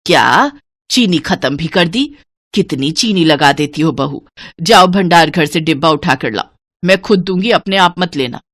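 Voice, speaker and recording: Woman playing a low-voiced, bossy miserly mother-in-law. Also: lively intonation, moderate pace, a bit bright, no echo, clean studio sound. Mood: scolding. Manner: scolding, commanding, stingy.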